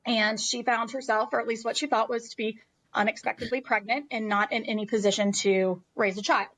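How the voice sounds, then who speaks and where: A woman's voice: a little high, fairly even intonation, fairly quick, a bit bright, poor audio. An American woman telling a personal story as an interview guest in a candid, emphatic, conversational way.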